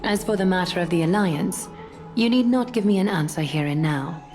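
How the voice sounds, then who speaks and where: A woman playing a composed, regal noblewoman in a video game, speaking in a soft, formal, courtly and measured way. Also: lowish voice, faint music behind, lightly acted. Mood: poised, courteous.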